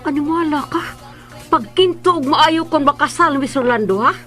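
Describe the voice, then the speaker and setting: A woman's voice: sits high, moderate pace, a bit bright, soft background music. A woman playing a bossy, insulting older woman who scolds the help, in Filipino radio drama style with a Bisaya accent. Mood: scolding. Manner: scolding, imperious.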